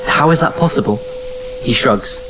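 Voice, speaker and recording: A man with a medium-pitched voice narrates a scripted first-person drama. His storytelling is measured and serious, and there is clear background noise with insect-like sounds. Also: expressive. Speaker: narrator and lead actor.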